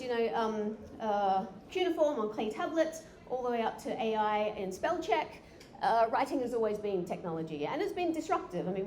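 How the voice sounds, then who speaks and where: A woman's voice: on the high side, darkish tone. A woman giving a live conference talk, chatty with frequent fillers and restarts. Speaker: conference speaker. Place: conference room. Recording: echoey hall with faint noise.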